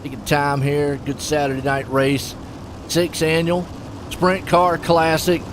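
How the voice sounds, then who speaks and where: Casting note: chatty male sim race host, slightly high voice, loud engine noise behind him. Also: monotone, a little slow. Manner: chatty and folksy, jokes with his co-host. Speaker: race commentator and stream host. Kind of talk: casual sim racing commentary. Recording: noisy broadcast mix with engine noise.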